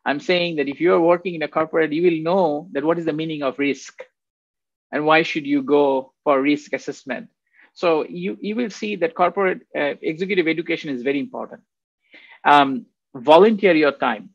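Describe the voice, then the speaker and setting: Man, 50s, from Bangladesh, guest lecturer, a bit high-voiced, dry close sound. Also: normal speed, gravelly, no room sound. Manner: rambling, conversational, frequent "right?" tags. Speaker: guest speaker. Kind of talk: faculty development lecture. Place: home office. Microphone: video call. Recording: dull, dry video-call audio.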